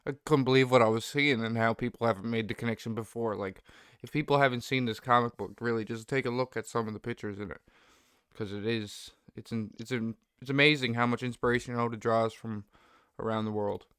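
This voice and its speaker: A man's voice: medium pitch, fairly even intonation, a little fast, fairly bright tone, clear, resonant tone, no room sound, clean studio sound. A man speaking as a guest in a casual fan discussion, agreeable and appreciative.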